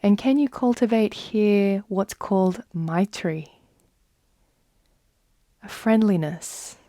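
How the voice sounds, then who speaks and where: A woman leading a guided meditation in a soft, soothing manner, with long unhurried pauses. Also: mid-range voice, dry recording, studio quality. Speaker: yoga nidra guide.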